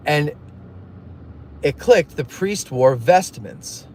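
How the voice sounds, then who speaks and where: A man's voice: on the high side, lively intonation, drawn-out words, darkish tone, a little hiss or hum. A man hosting a casual live stream, rambling through stories and jokey asides while reading viewer messages. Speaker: livestream host. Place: in a moving car.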